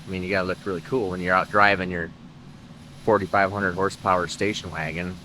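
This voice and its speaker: A man's voice: sits low, level tone, fast talker, sharp tone, slight background noise, low-quality recording. A man hosting a car vlog, talking casually in a workshop, rambling with lots of filler words. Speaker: host of a car-building channel. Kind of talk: casual garage vlog talk.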